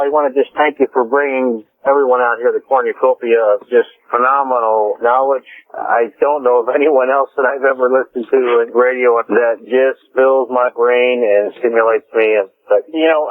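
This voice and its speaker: A male caller with a mid-range voice speaks to a radio host in an earnest, effusive way. He takes his time building up to his question. The sound is thin and tinny, like a phone line. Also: medium pitch. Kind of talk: call-in radio chat.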